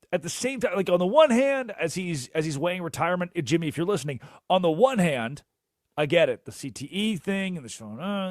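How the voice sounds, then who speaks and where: A young man hosting a sports radio show, animated and jokey, rambling through asides in a studio. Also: fairly high pitch, no room sound. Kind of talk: energetic sports talk radio. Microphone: studio microphone.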